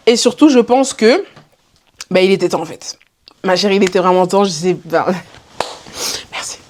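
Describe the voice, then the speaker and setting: A woman's voice: lowish voice, animated, measured pace, darkish tone, slight background noise. Playful woman in her twenties. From Belgium. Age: 20s. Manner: chatty, playful, self-mocking. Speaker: YouTuber. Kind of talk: casual self-introduction to camera.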